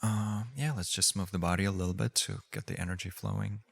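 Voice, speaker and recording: A man leading a guided meditation as a teacher, speaking gently and unhurriedly with long pauses. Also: sits low, little pitch movement, creaky, soft background music.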